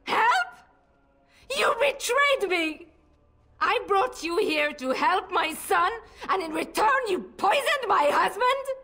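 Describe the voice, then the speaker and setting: A woman's voice: extremely high pitch, big pitch swings, slow, bright, forceful tone, full character voice. A woman playing an anguished, protective noble mother in a fantasy video game, pleading and emotional. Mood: outraged.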